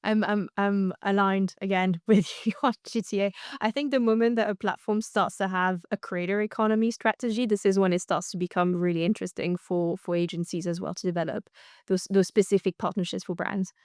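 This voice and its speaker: A woman's voice: medium pitch, fairly even intonation, a little fast, darkish tone, clean tone, dry recording, clean studio sound. A woman co-hosting a podcast, talking in a casual discussion style. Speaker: podcast co-host.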